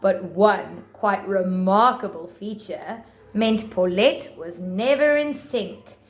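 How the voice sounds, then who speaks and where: A woman reading rhyming picture books aloud to young children with theatrical, deliberate phrasing. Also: mid-range voice, faint music behind. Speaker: children's storybook reader.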